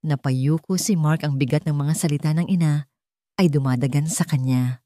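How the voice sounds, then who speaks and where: Mellow woman narrating a dramatic story. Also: sits low, steady tone, normal speed, dry recording, studio quality.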